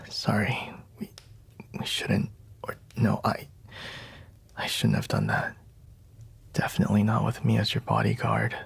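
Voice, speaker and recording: Man acting hushed, gravelly, flustered royal bodyguard. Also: lowish voice, exaggerated voice. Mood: flustered, hesitant. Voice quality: breathy, husky, gravelly near-whisper. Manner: hesitant, halting, grudgingly soft, frequent sighs. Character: gruff, flustered young male mercenary royal bodyguard, secretly tender. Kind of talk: intimate ASMR roleplay acting.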